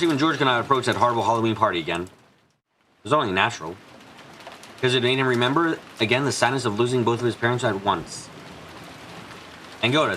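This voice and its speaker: Fast-talking man reading game narration. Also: mid-range voice, sharp tone, low-quality recording. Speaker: fan dub reader and narrator. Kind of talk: read-aloud game narration. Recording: faint background noise.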